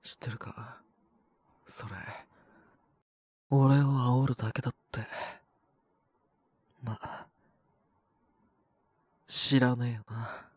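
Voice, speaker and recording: A man voicing a cocky, possessive young wolf-boy boyfriend with a cold, in an intimate audio roleplay, speaking bluntly and teasingly in a breathy, husky voice. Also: medium pitch, fairly even intonation, slow pace, long pauses, no room sound. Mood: low, provoking. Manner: blunt, rough casual speech, teasing, languid. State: sick with a cold and cough. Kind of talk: Japanese situation-voice roleplay aimed at the listener.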